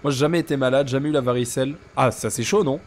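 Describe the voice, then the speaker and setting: A young man with a stuffy nose, chatting casually and joking deadpan with viewers while he streams a game. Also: mid-range voice, fast, a little hiss or hum. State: congested, sick with sinusitis. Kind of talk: casual live-stream chat while gaming.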